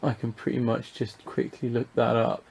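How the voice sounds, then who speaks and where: A man's voice: mid-range voice, completely flat delivery, normal speed, gravelly, darkish tone, husky. A British man giving a casual, unscripted hobby review, talking through what he shows in a hesitant, rambling way, thinking aloud.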